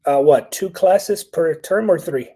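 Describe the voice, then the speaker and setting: Mellow-voiced podcast host, affirming. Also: male voice, fairly high pitch, measured pace, breathy edge. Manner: friendly, affirming, asks follow-up questions. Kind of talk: conversational interview.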